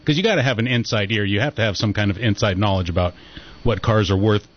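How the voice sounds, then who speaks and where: A man's voice: lowish voice, quick pace. Guy hosting a chill local radio talk show, easygoing chat, kinda muffled sound. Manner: relaxed, chatty, reads headlines.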